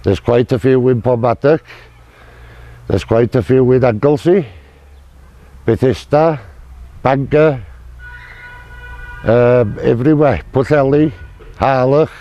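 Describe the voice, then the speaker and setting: A man gives an unscripted interview in short, halting phrases. He talks slowly in a dark, soft-edged tone. The recording is a little dull. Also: mid-range voice. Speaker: club organiser.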